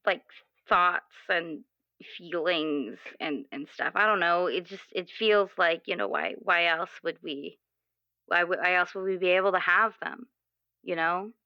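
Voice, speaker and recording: A woman playing an earnest, questioning young character in improvised roleplay, speaking haltingly with many repeated words. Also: lowish voice, bright, forceful tone, dry recording, muffled recording. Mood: wistful, unsure. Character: earnest, questioning twenty-year-old, restless with their assigned role. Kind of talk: improvised actual-play roleplay dialogue.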